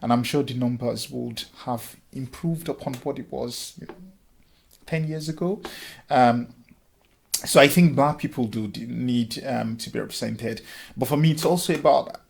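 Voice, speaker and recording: Gravelly Nigerian man, earnest and halting. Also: mid-range voice. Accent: Nigerian with a light Scottish touch. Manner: earnest, many ums and restarts. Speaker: interviewee, lecturer and former councillor. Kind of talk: reflective interview answers.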